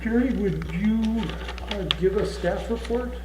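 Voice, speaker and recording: A man's voice: sits high, unhurried. A man chairing a planning commission meeting, polite and procedural as he moves through the agenda. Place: city council chamber, indoors. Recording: echoey room with background hum.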